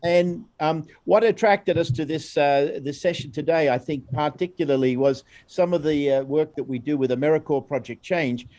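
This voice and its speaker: Australian man, program director; rambling conversational presenter, slightly high and mellow. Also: normal speed, hardly any pauses. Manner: chatty, rambling, warm encouragement of his guest. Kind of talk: informal recorded presentation.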